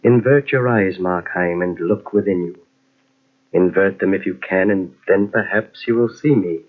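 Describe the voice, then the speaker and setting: An actor playing a sly inner voice of evil that tempts and commands in old-time radio mystery style. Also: male voice, deep voice. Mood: gloating, sinister. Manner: insinuating, slow commanding repetitions. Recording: dull lo-fi old radio cassette recording.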